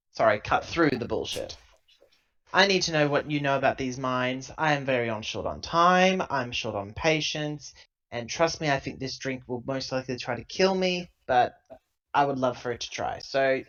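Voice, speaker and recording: A man's voice: medium pitch, animated, normal speed, fairly bright tone, dull audio. A man voicing a tough, impatient company woman in a tabletop game, blunt and demanding.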